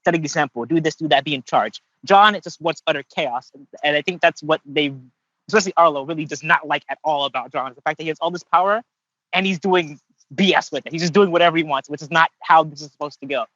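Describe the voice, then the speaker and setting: A man's voice: fairly high pitch, fast talker, a bit bright, no echo, lo-fi sound. A man on a casual podcast chat, thinking aloud hesitantly and restarting his sentences often. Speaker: podcast co-host and voice actor.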